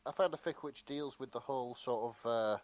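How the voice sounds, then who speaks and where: A man's voice: medium pitch, fairly quick. A man co-hosting a casual podcast, presenting and reading out fan fiction with a jokey, slightly hesitant delivery. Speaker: podcast co-host.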